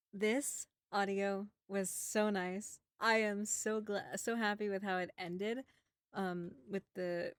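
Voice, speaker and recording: A woman's voice: mid-range voice, no echo. A woman voice actor chatting casually to her listeners in an informal way. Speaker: voice actor and channel creator.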